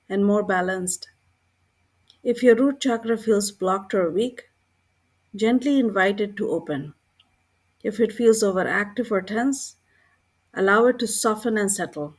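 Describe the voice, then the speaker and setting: A woman leads a guided meditation with a calm, gentle delivery. She reads in short, measured phrases and leaves unhurried pauses between them. Her voice sits at an average pitch for a woman. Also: slightly rough. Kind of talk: scripted guided meditation narration.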